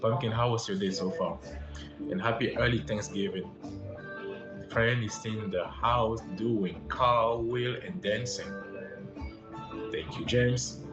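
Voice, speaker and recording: A man's voice: on the low side, takes it slowly, long pauses, lo-fi sound. A man whose first language is French, hosting a casual livestream language lesson and greeting and thanking his viewers by name. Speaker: language teacher and livestream host. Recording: roomy livestream with music behind.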